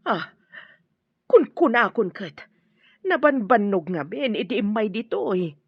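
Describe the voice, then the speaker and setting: A woman's voice: mid-range voice, lively intonation, measured pace, breathy edge, dry recording. A woman playing an anxious, protective mother in an Ilocano radio drama, worried and emotive. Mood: concerned. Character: anxious, protective mother of a sick grown daughter. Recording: dull lo-fi audio with music.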